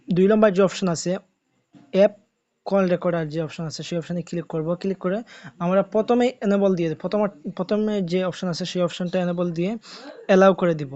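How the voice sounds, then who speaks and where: A man's voice: sits high, darkish tone, dull audio. A man narrating a how-to video as a tech host, in repetitive, instructional step-by-step phrasing.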